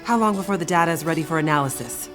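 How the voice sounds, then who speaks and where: A woman's voice: sits low, racing, a bit bright, soft background music. An actress playing a young woman scientist in a video game, an earnest assistant with a dryly wry manner. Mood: focused. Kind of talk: dramatic video game acting.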